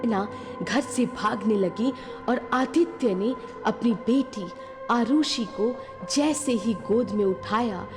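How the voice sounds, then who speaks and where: A woman narrating a scripted horror story in a dramatic storytelling style. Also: on the high side. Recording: long reverb, music behind.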